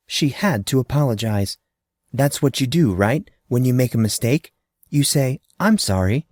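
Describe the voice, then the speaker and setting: Lively, expressive male audiobook narrator. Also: medium pitch, no room sound, studio quality.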